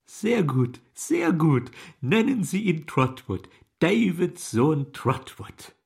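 An actor playing a gentle, simple-minded and kindly eccentric gentleman in a German radio drama, mild and deliberate, repeating himself. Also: on the high side, big pitch swings, gravelly, breathy edge, full character voice. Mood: pleased, gentle.

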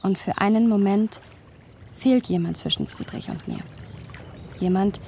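A woman's voice: medium pitch, sing-song, a little slow, creaky, warm tone, slight background noise. An actress playing a reflective, bookish woman who narrates her close friendship in a literary radio drama. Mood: tender, pensive.

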